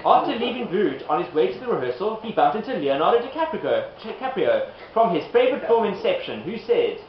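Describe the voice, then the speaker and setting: A man's voice: high voice, big pitch swings, fairly quick. A man narrating a comic puppet show in an amused, giggly manner, breaking off to direct the performers. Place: live performance in an echoey room. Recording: noisy, muffled, echoey room recording.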